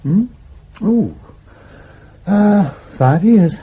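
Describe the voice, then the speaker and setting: A man's voice: high voice, expressive, very slow, dark tone, slightly rough, full character voice. A male actor playing an easygoing, bantering former field agent in a radio drama, light and hesitant. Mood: amused, casual. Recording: dull, muffled sound.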